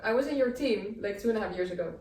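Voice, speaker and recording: A Dutch woman in her twenties, co-hosting a casual podcast chat, hesitant and quick to laugh. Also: medium pitch, expressive, darkish tone. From Netherlands. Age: 20s. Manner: laughing, hesitant, with many "um" and "yeah". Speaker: podcast co-host. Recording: echoey room, mic at a distance.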